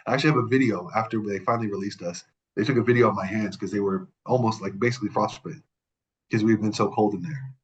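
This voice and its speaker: A man from the Middle East speaks as a podcast guest, his voice sitting a little low. He tells personal stories in an easy, conversational way. He hammers his points home by repeating key words, and he often stops and restarts mid-sentence. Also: fairly even intonation, fast, a little hiss or hum, rough recording. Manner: emphatic, repeats words for stress; frequent restarts and self-corrections. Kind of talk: casual conversation, personal storytelling.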